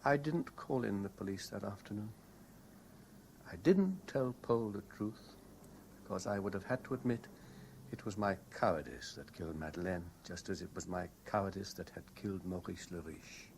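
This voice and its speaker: An actor with a British accent playing a tormented, obsessive lover in a brooding, deliberate radio drama style. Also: male voice, deep voice, gravelly, darkish tone. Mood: bitter, confessional. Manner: brooding, deliberate, intensely emotional. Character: tormented, obsessively lovestruck man confessing his cowardice. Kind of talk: BBC radio drama acting. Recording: hissy 1970s radio broadcast recording.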